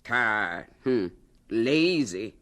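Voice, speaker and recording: An actress playing an older, plain-spoken, skeptical aunt with a folksy, scolding manner in a radio drama. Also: female voice, a bass voice, lively intonation, extremely slow, fairly bright tone, exaggerated voice. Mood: wry, proud.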